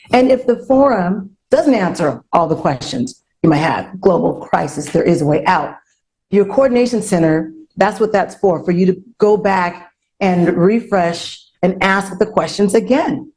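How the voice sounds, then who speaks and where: A woman with a mellow, rounded voice hosts a panel discussion. She speaks earnestly and off the cuff, repeating herself to drive points home. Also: medium pitch. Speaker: panel host.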